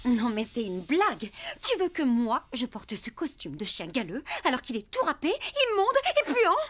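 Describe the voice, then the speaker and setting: Casting: fussy cartoon female, theatrical, high and hugely animated, over loud music. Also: moderate pace, heavily acted. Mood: disgusted. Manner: theatrical, emotional. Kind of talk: French cartoon dubbing.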